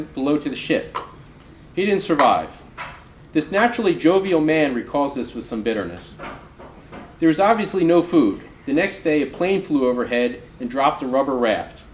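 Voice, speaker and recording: A man reads an oral history aloud in a roomy, echoing space with some background noise. His voice sits a little high, and he tells the account in measured, emphatic phrases, like an author at a live reading. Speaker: author reading from their book.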